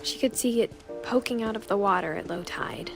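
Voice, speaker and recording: A young actress playing a wistful, introspective woman narrating her return to the family home in a game, quietly and with understatement. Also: medium pitch, fairly quick, creaky, natural voice. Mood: reflective, subdued. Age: young adult. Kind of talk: reflective scripted game narration. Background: music.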